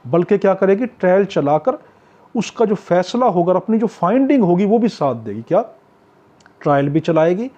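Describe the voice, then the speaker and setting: Husky Pakistani man teaching, dull recording. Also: high voice, very animated, normal speed, mellow. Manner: didactic, poses questions then answers them, repeats key points. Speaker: law tutor. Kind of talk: exam-prep lecture, explaining statutes point by point. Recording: dull, lo-fi, muffled sound.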